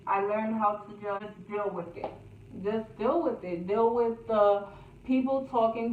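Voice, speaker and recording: A young woman telling a casual storytime vlog in a chatty, repetitive way, laughing at herself now and then. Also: medium pitch. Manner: slow, chatty, repeats phrases, laughs at herself. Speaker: YouTuber. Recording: echoey, distant, phone-like, faint hiss.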